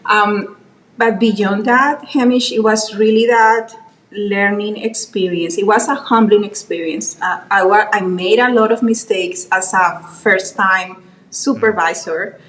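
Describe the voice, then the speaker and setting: A middle-aged woman from Colombia who learned English as an adult, speaking with a Colombian Spanish accent as an interview guest. She tells stories warmly and often restarts her sentences. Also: medium pitch, fairly even intonation, measured pace. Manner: warm storytelling with frequent restarts. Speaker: guest, quality executive. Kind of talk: conversational interview. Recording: roomy echo, faint background noise.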